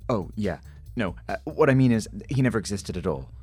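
A man's voice: fairly low pitch, lively intonation, brisk, darkish tone, slightly rough, dry recording, faint music behind. An actor playing a naive, earnest everyman in an audio drama, speaking in a hesitant, questioning, natural way. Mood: nervous, earnest.

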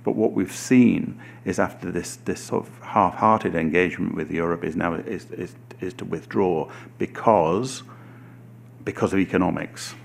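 Deep gravelly man, measured and reflective. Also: a little hiss or hum. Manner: measured, reflective, slows for emphasis. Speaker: panel guest. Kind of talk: radio panel discussion.

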